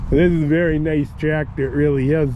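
A man's voice: a little high, fairly even intonation, a little fast, dull audio. Folksy man touring an outdoor auction yard. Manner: folksy, plainspoken, fond of old machinery. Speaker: farm equipment vlogger. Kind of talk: casual walkaround commentary. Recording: noisy outdoor camera recording.

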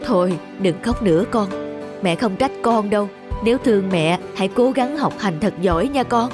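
A gentle, tired widowed mother from an animated drama voiced by a woman. She speaks softly and reassuringly, a little hesitant, as someone who carries her burdens quietly. Music plays behind her. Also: mid-range voice, normal speed, natural voice. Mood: sad, tender.

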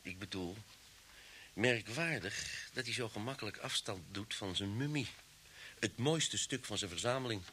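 A keen, plain-spoken detective in a radio play. His voice is bright and forceful, over faint tape hiss. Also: lowish voice, normal speed. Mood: pondering. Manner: direct, inquisitive. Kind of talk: old Dutch radio drama acting.

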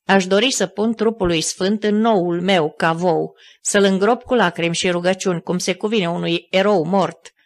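A reader voicing a gentle, respectful councillor. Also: mid-range voice, hardly any pauses, lightly acted. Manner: soft, calm and respectful.

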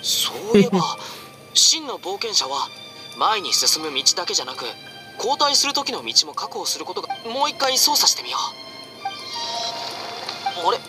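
An upbeat, unlucky young boy adventurer in an anime game. The delivery is animated and friendly, easygoing and boyish, over game music. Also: high voice, moderate pace, long pauses, rough recording. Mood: curious, unfazed. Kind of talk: Japanese anime game voice acting.

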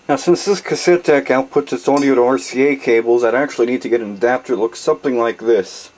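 Man calmly explaining a home tutorial. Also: medium pitch. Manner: plain, methodical explaining. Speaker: tutorial host. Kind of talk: step-by-step how-to demonstration. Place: home desk with computer and mixer.

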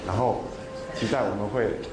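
A middle-aged man from southern Taiwan with a Taiwanese Mandarin accent, speaking reflectively in short, halting phrases. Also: lowish voice, steady tone. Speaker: film director. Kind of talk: reflective interview talk. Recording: loud music and crowd noise behind.

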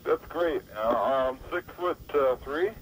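A man's voice: medium pitch, takes it slowly. A man chatting casually and briefly as a caller on a radio show. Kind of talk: casual, laconic phone chat. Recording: faintly noisy old radio phone call.

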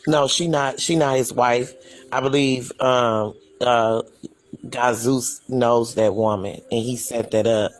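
Brief: man of middle pitch, hesitant casual chat with pauses. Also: steady tone. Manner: halting, hesitant.

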